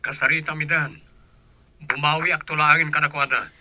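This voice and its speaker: An actor playing an evasive, irritable husband in a radio drama, speaking defensively and wearily. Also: male voice, on the high side, level tone, moderate pace, sharp tone, no echo. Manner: defensive, weary. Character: evasive, irritable working husband, dismissive and weary.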